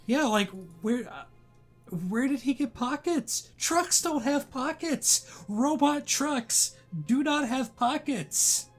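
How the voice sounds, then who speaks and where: A man's voice: very high-pitched, takes it slowly, faint music behind. A man co-hosting a comedy podcast, dropping dry, sarcastic quips. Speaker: podcast co-host. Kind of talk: casual comedic banter.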